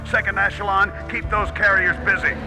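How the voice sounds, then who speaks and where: An actor playing a bold squadron leader in a video game, giving urgent, commanding orders. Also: male voice, high-pitched, level tone, normal speed, over music, lightly acted, rough recording. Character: bold male squadron leader rallying his pilots. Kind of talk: video game cutscene acting.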